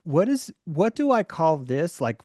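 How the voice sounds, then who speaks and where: A man hosting a group call, speaking candidly and reflectively with halting restarts. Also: on the high side, sing-song, warm tone, no echo, clean studio sound. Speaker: host. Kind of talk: casual chat.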